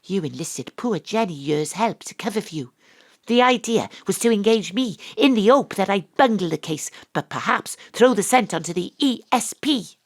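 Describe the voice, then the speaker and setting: A woman's voice: mid-range voice, animated, normal speed. A woman playing a wry, principled young detective with a Welsh accent, narrating and acting in an audio drama. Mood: sharp, accusing.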